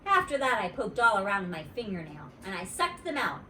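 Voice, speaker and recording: A woman, a teacher, reading a children's story aloud in an expressive storytime style. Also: medium pitch, brisk. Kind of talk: animated storybook read-aloud. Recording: roomy, distant mic, faint noise.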